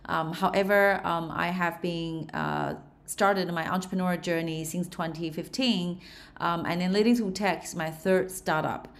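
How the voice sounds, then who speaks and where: Interview guest: young woman, Australian-tinged Chinese accent, fairly low voice, pauses to search for words. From China. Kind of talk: interview answers.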